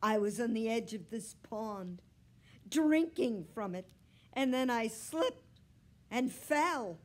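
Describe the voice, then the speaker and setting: Shrill, yowling, frantic storybook cat. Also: female voice, expressive, extremely slow, heavily acted. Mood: aggrieved. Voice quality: loud, yowling. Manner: desperate, dramatic complaining. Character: frantic, demanding, complaining female cat.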